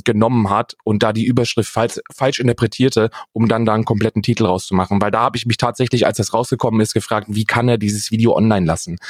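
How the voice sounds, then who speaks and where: Man, lowish voice, very dry close sound, ironic and wordy podcast co-host. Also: dry recording. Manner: ironic, wordy, mock-formal. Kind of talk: casual comedic podcast chat.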